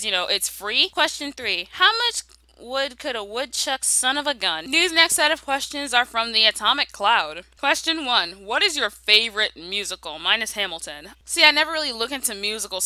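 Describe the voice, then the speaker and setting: A woman's voice: fairly high pitch, sharp tone, dry recording. A young woman doing a casual, humorous Q and A voice-over, reading questions and answering in a playful, sassy, conversational way. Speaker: YouTube animation creator answering viewer questions.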